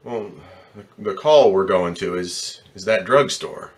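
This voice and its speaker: A man's voice: sits low, lively intonation, measured pace. A man playing an embittered, distraught ambulance driver in slangy, stammering old-time radio drama style. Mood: nervous, hesitant. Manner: slangy working-class talk, stammering when upset.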